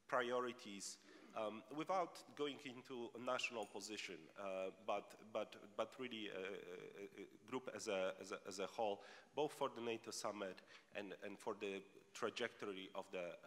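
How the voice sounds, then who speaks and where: A man moderating a panel, speaking in a halting, wordy way with repeated words. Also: lowish voice, fairly bright tone. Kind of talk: panel moderation before an audience. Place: large conference hall. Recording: echoey hall with long reverb.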